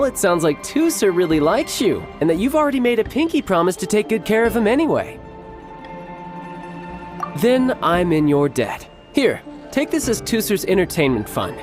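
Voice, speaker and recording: An actor playing a cocky, playful young warrior in a video game, smooth and teasing with theatrically formal speech. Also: male voice, high-pitched. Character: cocky, playful young male warrior posing as toy salesman.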